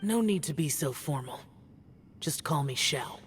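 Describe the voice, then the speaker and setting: A man's voice: fairly high pitch, lively intonation, a little slow, a bit bright, faint music behind. A man playing a stoic, brooding, youthful immortal guardian in a video game, terse and solemn in delivery. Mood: aloof, guarded.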